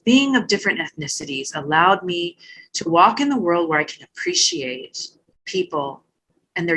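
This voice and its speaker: A middle-aged woman from Hawaii, a retired army general, answering interview questions in a thoughtful, measured way with small restarts. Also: lowish voice, a bit bright, breathy edge, rough recording.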